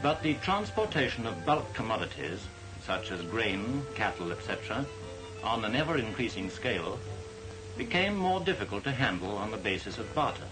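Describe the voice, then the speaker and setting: A man giving formal, measured, didactic narration in the style of a 1940s educational film. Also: on the low side, big pitch swings, low-quality recording. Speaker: documentary narrator. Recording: vintage 1940s film soundtrack. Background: music.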